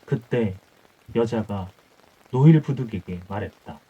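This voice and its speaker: A man's voice: on the low side, animated, measured pace, dark tone, breathy edge. A man reading an old folktale as scripted bedtime audiobook narration. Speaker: audiobook storyteller. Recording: dull muffled audio with music.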